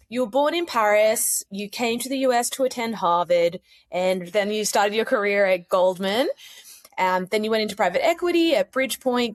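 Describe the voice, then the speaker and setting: An upbeat woman introduces and interviews a guest on a podcast. Her voice sits in a middle pitch, and the sound is dry and close with no room around it. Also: dry recording. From Australia. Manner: upbeat, enthusiastic. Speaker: podcast host.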